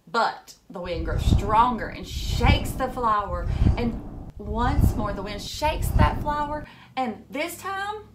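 Thirties lady reading a kids book out loud, slow and dramatic, bit of room noise behind her. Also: mid-range voice. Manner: animated, dramatic gasps and hushed moments, simple questions to children. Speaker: teacher reading a picture book aloud. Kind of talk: expressive children's read-aloud with asides to young listeners. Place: furnished room at home, indoors.

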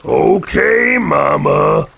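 A man voicing a big, dim-witted, boastful young toad in a children's storybook, croaky and simple-minded. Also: on the high side, lively intonation, a bit bright, full character voice. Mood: dopey, obedient. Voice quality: croaky, gravelly. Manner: slow, simple-minded delivery. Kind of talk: children's storybook character acting.

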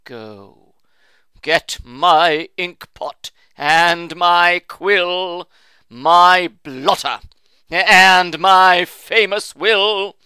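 Pompous elderly uncle, booming, put on by a male reader. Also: extremely slow, sharp tone, smooth, dry recording, exaggerated voice. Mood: indignant. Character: old rich blustering uncle, pompous and loud.

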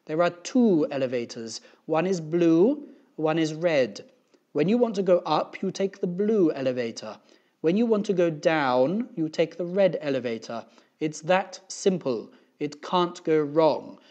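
A man voicing a loud, officious school principal making emphatic announcements in a children's storybook. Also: a little high, dull audio, voice pushed up. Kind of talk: children's storybook character reading.

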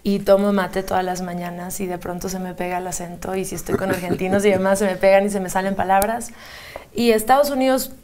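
A woman's voice: medium pitch, level tone, quick pace, darkish tone. A young woman singer with a Mexican Spanish accent, answering interview questions in a chatty, easy way, holding a handheld mic in a TV studio. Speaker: singer guest. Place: TV studio, remote split screen.

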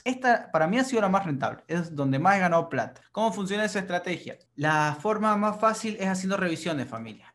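A man, giving a casual, animated screen-share tutorial on online marketing. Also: on the high side. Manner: animated, informal, addresses viewers as family.

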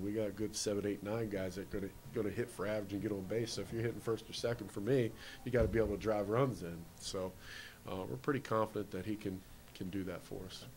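A man's voice: on the low side, a little mellow, a little hiss or hum. A man in his forties with a Canadian accent, a baseball manager answering postgame questions in a plain, matter-of-fact way in an indoor hallway. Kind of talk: postgame press interview. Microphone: handheld reporter mic.

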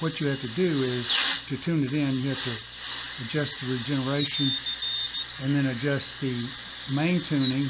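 A man in his seventies or older giving a casual, unscripted hands-on demonstration in a halting, plain-spoken way. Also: mid-range voice. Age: 70s or older. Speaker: hobbyist demonstrating a vintage radio. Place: basement room with a desk. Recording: dull home recording, loud radio hiss.

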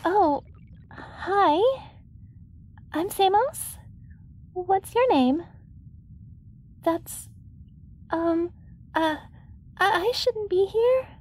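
Woman as a very high-pitched shy alien girl. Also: lively intonation, extremely slow, long pauses, slight background noise, heavily acted. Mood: shy, nervous. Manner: timid, hesitant, apologetic. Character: shy, overwhelmed young alien farm girl. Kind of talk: audio roleplay acting.

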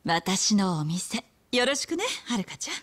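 A woman acting a kind, composed bar owner in a Japanese video game drama, speaking in a gentle, mature manner. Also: medium pitch, very animated, moderate pace. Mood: sympathetic, welcoming.

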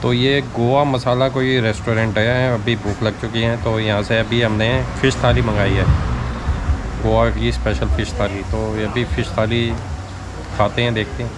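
A man's voice: mid-range voice, level tone, normal speed. A man in his forties chatting casually as a travel vlogger, guiding viewers around while holding a phone at arm's length outdoors. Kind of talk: casual travel vlog narration. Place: outdoors on beaches and hilltops, also a restaurant. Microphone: phone held at arm's length. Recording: noisy outdoor phone recording.

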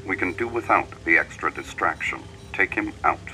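An actor playing a cold, commanding middle-aged villain boss in a video game, giving clipped, menacing orders over a radio transmission. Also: male voice, low voice, moderate pace, pushed and bright, slight background noise, poor audio. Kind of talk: video game cutscene acting.

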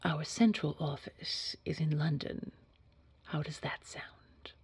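A woman playing a poised, sinister boss in an audio roleplay, speaking formally in a hushed, husky, measured and quietly controlling way. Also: low voice, no echo, in a whisper, full character voice. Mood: coolly persuasive. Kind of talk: scripted audio roleplay acting.

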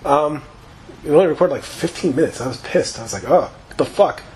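A man giving loose, profane, rambling commentary over his own gameplay, his voice slightly hoarse after a long session. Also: fairly high pitch, lively intonation, brisk, a little mellow, low-quality recording. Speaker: gaming YouTuber.

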